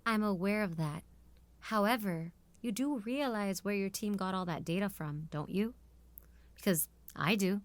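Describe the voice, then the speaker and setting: A woman's voice: mid-range voice, unhurried, no echo, natural voice. A woman playing a defiant, no-nonsense military strategist in a scripted drama, speaking in a firm, assertive way. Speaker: voice actor in audio drama.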